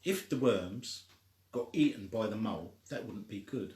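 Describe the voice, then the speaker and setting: A man's voice: fairly low pitch, lively intonation, moderate pace, darkish tone. A man reading a children's story aloud in an informal, homespun way. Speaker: story reader. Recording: echoey room.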